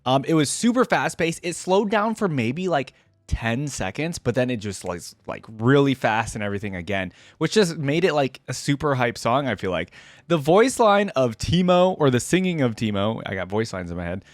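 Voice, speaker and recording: A man's voice: mid-range voice, very animated, normal speed, dry recording, clean studio sound. A man giving enthusiastic, informal reaction commentary as the host of a fan channel.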